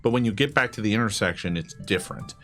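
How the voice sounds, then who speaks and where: A man acting as game master, improvising narration for a tabletop game in a halting, think-aloud way. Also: fairly low pitch, lively intonation, vocal fry, fairly bright tone, soft background music.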